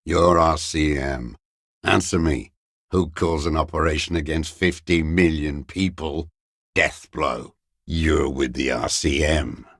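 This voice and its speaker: An embittered old male revolutionary, the last defender of a lost cause. His voice is extremely low and full of gravel, and he speaks slowly. He declaims like a haunted video game ideologue, proud and accusing. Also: fairly bright tone, no echo, clean studio sound, exaggerated voice. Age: older. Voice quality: gravelly, raspy, worn low voice. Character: bitter old communist deserter, last defender of a fallen commune. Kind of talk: dramatic video game voice acting.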